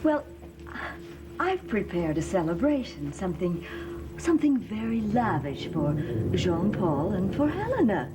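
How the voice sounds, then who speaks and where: A fussing, anxious wife in an old soap, flustered and pleading, lively pitch, music below. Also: female voice, a little slow, dull audio, noisy background. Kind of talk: 1960s soap opera acting. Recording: old TV soundtrack with music beneath.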